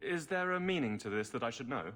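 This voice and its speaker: A man's voice: mid-range voice, lively intonation, fast, a bit bright, close to an everyday voice. An actor playing a polite, mild, bemused city guardsman in a fantasy video game, courteous and a little hesitant. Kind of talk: dramatic video game acting.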